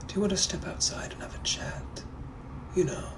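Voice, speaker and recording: A man playing a menacing, possessive gentleman from the 1800s, speaking in a hushed, breathy, put-on voice. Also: background noise, natural voice. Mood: flirtatious. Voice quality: hushed breathy whisper, drawn-out purring delivery. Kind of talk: POV comedy skit.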